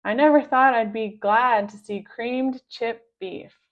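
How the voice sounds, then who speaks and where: A woman's voice: fairly high pitch, slow pace, highs cut off. A woman voicing a clever, mischievous schoolboy who leads his friends in a children's storybook. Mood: scheming.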